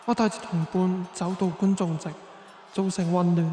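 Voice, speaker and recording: Man acting a high-voiced, solemn young avenger. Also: little pitch movement, moderate pace, warm tone, slight background noise, natural voice. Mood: grave, tense. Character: vengeful young man, solemn and brooding hero. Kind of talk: first-person dramatic narration in Chinese radio drama. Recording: roomy long reverb.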